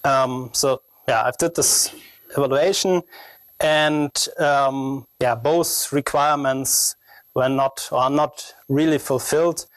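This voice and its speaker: A young man with a strong German accent presents technical research at a conference. His pitch sits in a middle range and the sound is fairly dry. He talks haltingly, searching for phrasing as a non-native speaker. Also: steady tone, dry recording.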